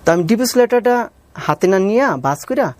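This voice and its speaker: A man's voice: high-pitched, expressive, fairly quick, darkish tone, no echo. Bangladeshi man earnestly recounting his life story. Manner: deferential, calls the interviewer sir. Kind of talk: personal storytelling interview with reenacted dialogue.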